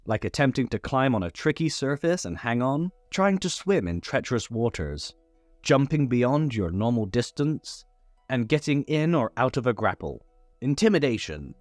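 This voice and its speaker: A man hosting a tutorial, reading a scripted explainer in an instructional way with lightly playful asides. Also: mid-range voice. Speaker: host of a tabletop game tutorial.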